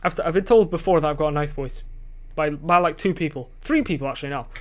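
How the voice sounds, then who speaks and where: Young guy rambling on his own podcast, kinda high voice, swears, keeps losing his thread. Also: fast. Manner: rambling and self-questioning, loses his train of thought; casual swearing. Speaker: solo podcaster. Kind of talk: rambling solo podcast chat. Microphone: desk microphone.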